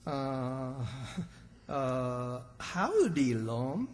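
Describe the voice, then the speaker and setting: Slow, folksy old country man played by an actor. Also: medium pitch, big pitch swings. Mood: sheepish. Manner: folksy, hesitant, drawn-out exclamations. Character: naive, literal-minded old country man, stubborn and wisecracking. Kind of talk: old-time radio comedy acting. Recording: hall reverb, distant stage sound.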